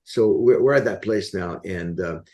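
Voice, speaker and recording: A man hosting his show, talking in a halting, casual way with many restarts and repeated words. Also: low voice, warm tone. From Dallas area. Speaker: show host. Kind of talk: casual conversation.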